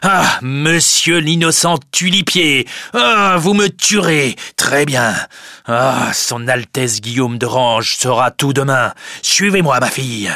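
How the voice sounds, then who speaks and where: A man's voice: medium pitch, animated, slow, pushed and bright, no room sound, clean studio sound, full character voice. A man voicing an angry old jailer, mocking and threatening, in a storybook reading. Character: angry old jailer, menacing, sarcastic father.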